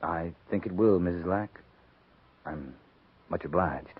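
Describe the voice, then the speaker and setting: An actor playing a steady, courteous middle-aged American cavalry captain in a 1950s radio western, measured and authoritative. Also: male voice, low voice. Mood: gentle, reserved. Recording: muffled, noisy 1950s radio recording.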